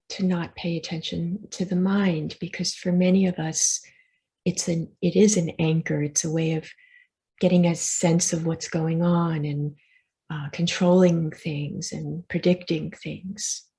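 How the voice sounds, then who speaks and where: Low creaky-voiced woman guiding a meditation. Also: level tone. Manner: soft, unhurried, reassuring instruction with pauses. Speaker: meditation teacher leading a session. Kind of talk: guided meditation, gentle spoken instruction.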